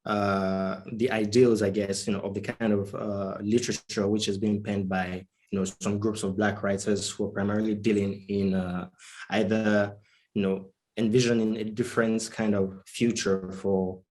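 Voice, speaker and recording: A Nigerian man speaks in a low, fairly flat voice. He talks conversationally as a panel guest, feeling his way through phrases with frequent filler words. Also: moderate pace. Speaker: writer, panelist. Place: online video panel.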